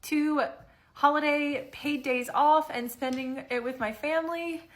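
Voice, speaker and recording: A woman's voice: high voice, fairly even intonation, measured pace. A woman who was laid off the day before, upset as she tells her story in a vlog. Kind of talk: personal vlog.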